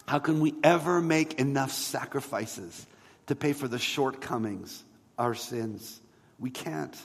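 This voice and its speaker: A man's voice: medium pitch, breathy edge. Man preaching in a long, echoing hall. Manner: conversational preaching, rhetorical questions, emphatic pauses. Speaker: pastor preaching a sermon. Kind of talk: sermon.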